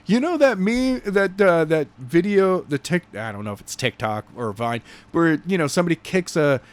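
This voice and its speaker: Casual male podcaster, slightly high voice. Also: lively intonation, moderate pace. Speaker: podcast co-host. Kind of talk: casual chat discussing a story.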